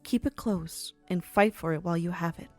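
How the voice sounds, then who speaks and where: A woman's voice: on the low side, moderate pace, soft background music, close to an everyday voice. A woman playing a courteous but commanding underworld matriarch in an audio drama, stately and formal. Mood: wistful, sincere.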